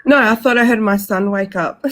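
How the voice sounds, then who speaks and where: Australian woman, medium pitch, casual chat, personal storytelling, panel guest. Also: moderate pace, fairly bright tone.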